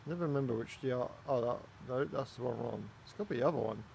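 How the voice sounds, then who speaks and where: A man's voice: medium pitch, quick pace, creaky, darkish tone, a little hiss or hum. A man from Wales with a Welsh accent, giving casual, chatty commentary while flying a flight simulator. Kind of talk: casual gaming commentary with chat.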